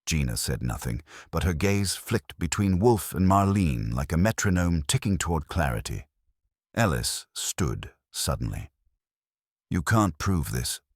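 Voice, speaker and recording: A man narrating a detective story in a measured, deliberate, scripted dramatic delivery. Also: extremely low pitch, big pitch swings, no echo, studio quality. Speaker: audiobook narrator.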